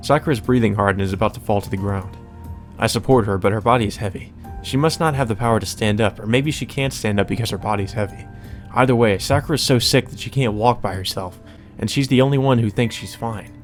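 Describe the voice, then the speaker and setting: A man's voice: fairly low pitch, fast. Casual man reading a visual novel over music. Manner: casual, profane asides. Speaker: let's play host. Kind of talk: visual novel read-aloud with casual commentary.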